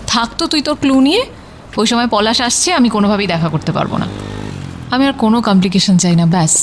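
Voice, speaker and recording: Actress as high-voiced exasperated young girlfriend. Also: fast talker, background noise, lightly acted. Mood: worried, firm. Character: young woman in love, exasperated, anxious, candid. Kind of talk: audio story romantic drama acting.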